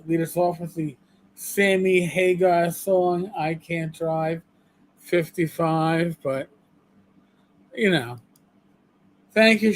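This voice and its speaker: A man in his fifties co-hosting a livestream in casual, rambling chat, self-deprecating and prone to halting restarts. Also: a little high, a little mellow, slight background noise, low-quality recording. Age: 50s. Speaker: livestream co-host.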